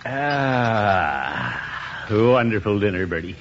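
Pompous, swooping radio-sitcom uncle. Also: male voice, lowish voice, heavily acted. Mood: contented, genial. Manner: chuckling, muttering to himself, expressive drawn-out exclamations. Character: portly, pompous middle-aged uncle, comfort-loving and easily flustered. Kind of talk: 1950s radio sitcom acting. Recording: dull 1950s radio broadcast, faint noise.

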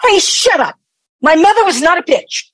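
A woman's voice: very high voice, sing-song, moderate pace, no room sound. A woman in an audio play acting a hostile, bluntly sarcastic elder daughter. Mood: indignant.

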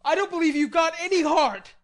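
Casting: female reader for lovelorn young man in storybook narration, impassioned and wheedling, pitched well up. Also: lively intonation, brisk. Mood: indignant. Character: lovelorn, hot-headed young man, pleading and rebellious. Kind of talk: audiobook character voice.

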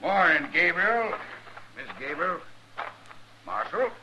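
An actor playing a hard, defensive rancher in an old-time American radio western, with folksy rough speech and a raspy voice. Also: male voice, a little high, big pitch swings, slow, noisy background. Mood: feigned friendliness. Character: hard, defensive male rancher turned desperate father. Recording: dull vintage radio recording.

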